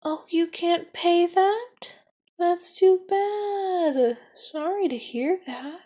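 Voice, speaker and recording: A woman's voice: high-pitched, mellow, heavily acted. A woman voicing a menacing, knife-wielding street extortionist in an ASMR audio roleplay, taunting and mock-sweet with hissed whispers.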